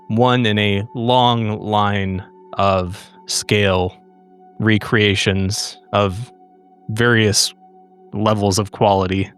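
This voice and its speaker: A man playing a tabletop game, slowly narrating his character's scene in a measured, cinematic way. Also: on the low side, a bit bright, clean tone. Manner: slow, measured scene-setting. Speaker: player.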